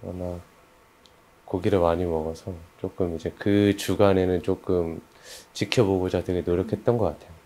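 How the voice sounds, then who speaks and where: A man with an extremely low, soft-edged dark voice chats in a group. He comes across as agreeable and a little hesitant, feeling his way through his answers. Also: fairly even intonation, normal speed. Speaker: project team member being interviewed. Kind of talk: casual group discussion.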